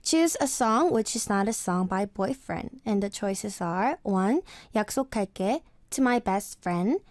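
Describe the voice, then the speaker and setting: A woman's voice: mid-range voice, lively intonation, moderate pace, no room sound. A woman co-hosting a radio quiz with a sore throat, giving short replies and reading out the choices. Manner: brief agreeing replies, reads quiz choices. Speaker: radio co-host. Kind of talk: casual radio show chat.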